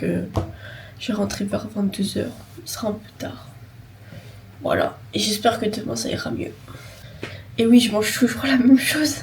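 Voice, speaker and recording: A young woman vlogging casually while sick with a cold and sore throat, speaking softly and sometimes dropping to a whisper. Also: mid-range voice, distant mic, a little hiss or hum, poor audio. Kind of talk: casual vlog talk to camera.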